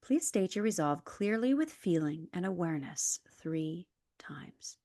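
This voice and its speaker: Female yoga nidra guide, lower register, calm and soothing, scripted instructions, very dry close sound. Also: measured pace, dry recording.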